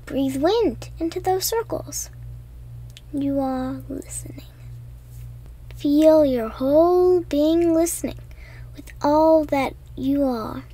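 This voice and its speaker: A woman with a high, light voice narrates a guided meditation for children. She speaks very slowly and leaves pauses between short phrases. Her delivery is gentle and hushed, often breathy. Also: low-quality recording.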